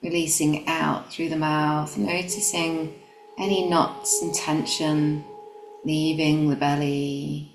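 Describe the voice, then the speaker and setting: Low-pitched woman, meditation guide, gentle and calm, unhurried, roomy echo over music. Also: noisy background. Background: background music.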